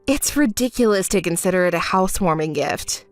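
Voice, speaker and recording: A woman voicing a calm, fed-up young woman who answers in a level, dry, scolding way in a dramatized story. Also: on the low side, a little fast, dry recording, soft background music, pitched below the performer's own voice, natural voice.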